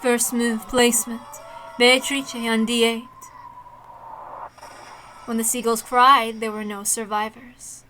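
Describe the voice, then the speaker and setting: A woman's voice: on the high side, level tone, slow, pauses often, pushed and bright, low-quality recording. A woman reading visual novel text aloud expressively as a streamer, with casual asides in between. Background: game soundtrack music.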